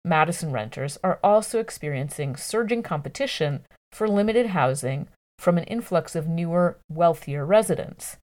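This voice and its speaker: A woman reading a scripted news article aloud in a measured, journalistic way with clear enunciation. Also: fairly low pitch, expressive, darkish tone.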